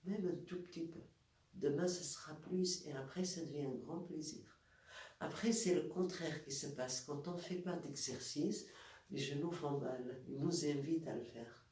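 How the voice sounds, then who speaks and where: A woman with a cold, calmly leading a guided exercise as a wellness instructor and demonstrating movements step by step. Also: sits low, normal speed. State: has a cold. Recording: echoey room, voice from far off, faint noise.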